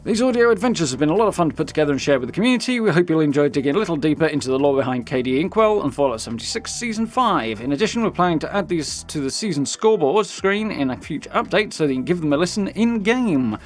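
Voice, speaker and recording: A man's voice: a little high, very animated, fast, hardly any pauses. British man presenting gaming news over music. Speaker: gaming news video host. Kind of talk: casual news commentary, part read aloud. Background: background music.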